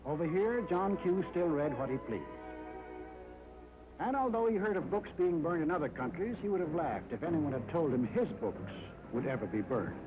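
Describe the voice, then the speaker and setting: An American man delivers emphatic wartime documentary narration in a 1940s style. His voice sits fairly high and swings expressively from phrase to phrase. The sound is a dull, aged film track with music underneath. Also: lively intonation, normal speed. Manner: dramatic, emphatic, rhetorical delivery.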